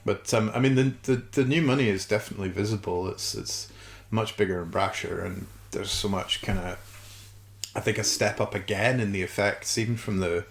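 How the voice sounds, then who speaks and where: A man's voice: lowish voice, moderate pace, gravelly. A man co-hosting a podcast, chatting casually about a TV show and thinking aloud in a tentative way. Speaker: podcast co-host. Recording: roomy, echoing sound.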